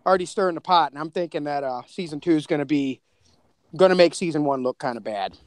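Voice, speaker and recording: A man's voice: fairly high pitch, fast, no echo, clean studio sound. A man chatting casually on a podcast as its co-creator, telling stories in a rambling way.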